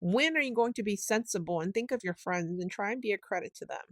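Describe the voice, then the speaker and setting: A woman's voice: medium pitch, fast, dry recording, studio quality, natural voice. A woman voicing a stern male water rat who lectures his friend in a scolding, emphatic way. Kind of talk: storybook reading.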